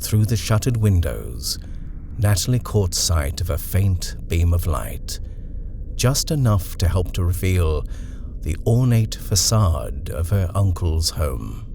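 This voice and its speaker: Male narrator, suspenseful storybook read, deeper voice, wildly varied pitch. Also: slow, vocal fry, background noise. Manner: expressive, suspenseful. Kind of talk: dramatic horror storybook reading.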